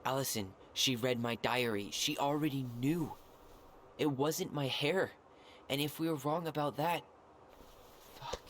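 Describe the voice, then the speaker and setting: A man's voice: mid-range voice, measured pace, pauses often, fairly bright tone, a little hiss or hum, close to an everyday voice. A man playing a wounded, stubborn young man in naturalistic video game dialogue, earnest and blunt. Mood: troubled. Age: young adult.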